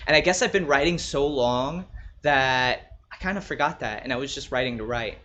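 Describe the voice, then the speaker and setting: A man who is a writer and podcast co-host, chatting casually in an animated, gushing way. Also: medium pitch, moderate pace, muffled recording. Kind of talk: casual chat.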